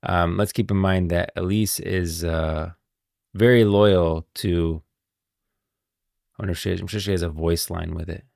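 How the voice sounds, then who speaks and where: A male streamer with a very low, creaky voice talks casually about game lore. He muses and thinks aloud as he goes. The recording is close and dry. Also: steady tone, a little slow, a little mellow, no echo. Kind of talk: casual live stream commentary.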